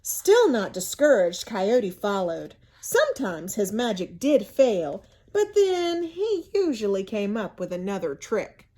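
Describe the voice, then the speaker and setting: A woman reading a storybook aloud to children in an expressive, teacherly way, pausing to explain and ask questions. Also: medium pitch. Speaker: school media coordinator reading to children.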